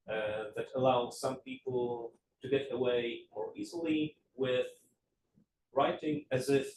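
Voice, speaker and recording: A man in his thirties from Poland, a native Polish speaker, giving an academic talk in a measured, hesitant way with many fillers. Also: mid-range voice, a little mellow, slight background noise. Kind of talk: academic lecture. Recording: echoey room, mic at a distance.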